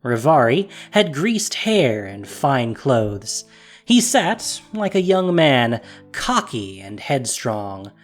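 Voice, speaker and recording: Expressive audio drama narrator. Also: medium pitch. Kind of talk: scripted story narration.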